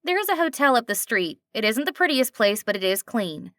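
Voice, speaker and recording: A friendly, worn-out cashier in her forties, as a woman reader puts her on, with a slight nasal twang. She talks in a folksy, reassuring way, pitched well above the reader's own voice. The recording is close and dry. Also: very animated, a little fast, fairly bright tone, dry recording. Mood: kindly reassuring. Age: 40s. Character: friendly tired middle-aged woman cashier.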